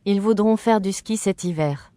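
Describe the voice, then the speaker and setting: A voice reading short phrases slowly and evenly for learners, carefully enunciated and repeated. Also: mid-range voice, warm tone, no room sound. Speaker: French phrase reader.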